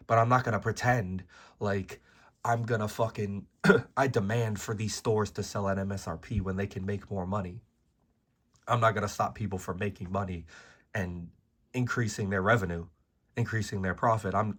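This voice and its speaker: A man with a hoarse, worn-out voice from being sick, delivering a blunt, profane, exasperated rant to camera as a card-game video host. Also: lowish voice, fairly bright tone. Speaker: trading card game YouTuber. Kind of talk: ranting video commentary.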